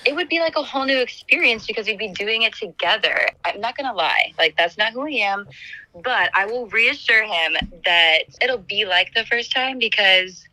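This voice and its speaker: A woman with a slightly raspy voice, calling in to a dating segment, talking in a friendly way and laughing often. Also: on the high side, expressive, normal speed, bright, forceful tone, no room sound, low-quality recording.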